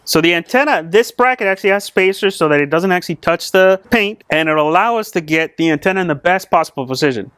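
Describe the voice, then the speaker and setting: A man with a slightly high-pitched voice hosts a do-it-yourself vehicle video. He explains each step casually and conversationally, as if chatting with fellow hobbyists. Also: lively intonation, fast, few pauses, a bit bright, clear, resonant tone, no echo, clean studio sound. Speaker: host of an off-road vehicle channel. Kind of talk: casual install tutorial to camera.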